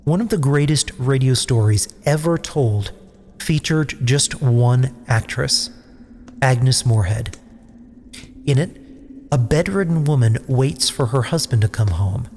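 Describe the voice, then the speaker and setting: A man's voice: medium pitch, a little mellow. A man hosting a podcast, giving scripted, dramatic storyteller narration to introduce classic radio plays. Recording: long hall reverb, faint noise.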